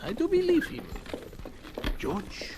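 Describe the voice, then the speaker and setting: A stern old German farmer. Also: male voice, very high-pitched, wildly swooping pitch, exaggerated voice. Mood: earnest. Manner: earnest, halting broken English. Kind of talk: 1950s radio drama acting. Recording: hissy old radio recording.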